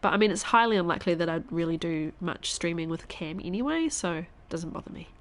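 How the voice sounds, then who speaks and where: A woman in her thirties, a stuffy-nosed streamer chatting casually while she plays, thinking aloud and now and then dropping to a quiet whisper. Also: fairly low pitch, animated, fast talker. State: congested, stuffy nose. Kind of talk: casual live-stream chat while gaming.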